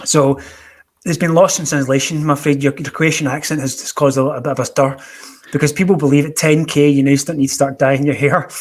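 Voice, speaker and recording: A man's voice: on the high side, steady tone, brisk. Bantering man hosting a casual podcast. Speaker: podcast host. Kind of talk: casual chat, putting listener questions to guests.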